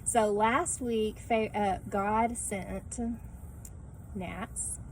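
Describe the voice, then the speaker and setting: A woman speaks to children in a casual, conversational teaching style. Her voice sits at a middle pitch, and she often ends thoughts with short questions. Faint ambient noise runs behind her. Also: fairly even intonation, drawn-out words, poor audio. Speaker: children's Bible class teacher. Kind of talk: casual read-aloud and lesson talk for children.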